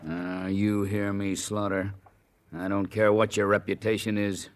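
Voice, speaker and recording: An actor playing a defiant, cornered cattle rustler who blusters in an old-time radio Western. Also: male voice, lowish voice, level tone, background noise, close to an everyday voice. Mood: threatening. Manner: blustering, drawn-out threats.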